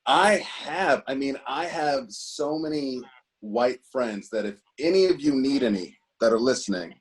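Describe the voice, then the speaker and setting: A man's voice: mid-range voice, expressive, a little slow. A man from Chicago co-hosting a podcast, teasing his friends with dry humor in casual chat. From Chicago, Illinois. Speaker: podcast co-host.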